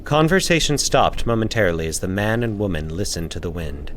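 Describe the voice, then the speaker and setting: A man's voice: deep voice, sing-song, brisk, a little hiss or hum. A man reading scripted fiction as the narrator of a story podcast. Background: faint atmospheric sound effects.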